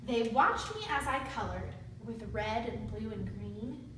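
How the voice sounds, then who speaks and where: A woman's voice: a little high, animated, unhurried, fairly bright tone. A woman teaching a read-aloud lesson to young children, using simple instructional phrasing and prompting them with questions. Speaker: student teacher reading aloud to a class. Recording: very echoey room, distant mic, faint noise.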